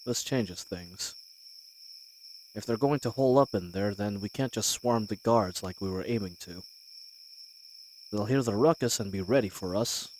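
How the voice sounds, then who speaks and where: A man's voice: on the low side, darkish tone, slight background noise, poor audio. An actor playing a cocky but wary male bandit leader in a fantasy audio roleplay, with a folksy, wry way of talking. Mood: wary, annoyed. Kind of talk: scripted fantasy audio roleplay, addressing the listener.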